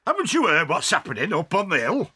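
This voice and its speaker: An actor playing a flustered, blustering badger with a raspy voice in a children's audio drama. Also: male voice, sits high, huge pitch swings, quick pace, pushed and bright, exaggerated voice. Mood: flustered, alarmed. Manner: agitated, exclamatory. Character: flustered, blustering male badger, a worried father.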